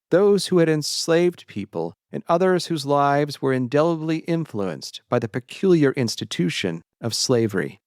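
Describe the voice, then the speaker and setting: A man narrating a scripted historical essay in a measured, deliberate way, as a historian would. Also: medium pitch, dry recording, studio quality.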